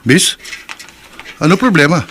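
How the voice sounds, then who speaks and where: Bright-voiced, reassuring Filipino fisherman. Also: fairly high pitch, very animated, measured pace, a little hiss or hum. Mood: concerned. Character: Tom, practical, reassuring fisherman and restaurant owner. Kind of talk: Filipino horror radio drama acting.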